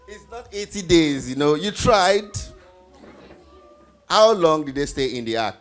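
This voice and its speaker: An encouraging leader runs a live children's Bible quiz at a slow pace. The voice sits at a medium pitch, putting questions to the group and echoing the answers back. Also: animated, soft background music. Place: live group event with children before a stage.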